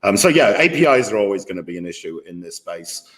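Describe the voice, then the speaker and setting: Blunt rambling man, low voice. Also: big pitch swings, quick pace, fairly bright tone, rough recording. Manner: rambling, lots of fillers, blunt with swearing. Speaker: guest market maker. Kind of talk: casual video-call conversation. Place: at home in his city.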